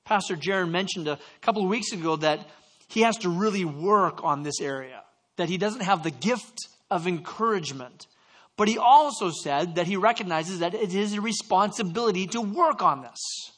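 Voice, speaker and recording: A man's voice: high-pitched, normal speed, fairly bright tone. A man from Canada preaching a sermon, telling stories with self-deprecating asides and stumbling restarts. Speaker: pastor.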